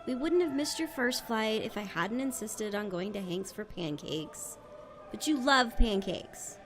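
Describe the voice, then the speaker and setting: A woman's voice: a little high, lively intonation, unhurried, over music. A woman playing a teasing, impatient travel companion in a casual audio drama scene.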